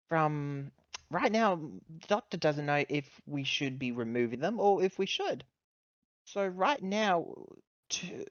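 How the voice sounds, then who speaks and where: A woman's voice: lowish voice, dry recording, lo-fi sound, voice pushed up. A woman playing a blunt, indignant spaceship officer in a tabletop game, confrontational, sarcastic and demanding. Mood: angry, accusing.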